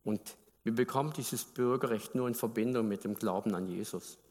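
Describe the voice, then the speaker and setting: A man's voice: lowish voice, moderate pace, darkish tone, long reverb tail. A man from Baden in Germany preaching a sermon, talking conversationally and putting questions to the congregation.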